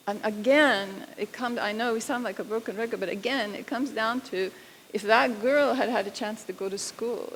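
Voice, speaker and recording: Woman panelist, mid-pitched, earnest and emphatic, hall reverb with faint room noise. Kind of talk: panel discussion. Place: university lecture hall.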